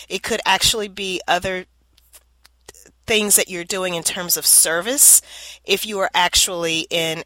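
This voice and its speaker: A woman's voice: lowish voice, pushed and bright, no room sound, rough recording. A woman from Louisiana hosting her solo podcast in an upbeat, explanatory way, a little nasal and congested from allergies. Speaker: podcast host.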